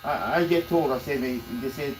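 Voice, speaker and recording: A man chatting casually in a barbershop, telling lively stories and repeating words when he gets excited. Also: mid-range voice, moderate pace, a little hiss or hum, poor audio. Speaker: barbershop podcast guest. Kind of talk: casual chat.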